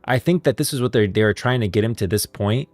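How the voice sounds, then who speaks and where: A man's voice: lowish voice, a little fast, darkish tone, no echo, faint music behind. A man streaming casual live commentary on game lore, rambling and repeating phrases as he reasons aloud.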